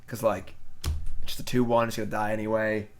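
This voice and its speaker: A man's voice: on the low side, little pitch movement, fairly quick. Offhand man thinking aloud mid-game. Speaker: streamer playing a card game. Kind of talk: casual live gameplay commentary.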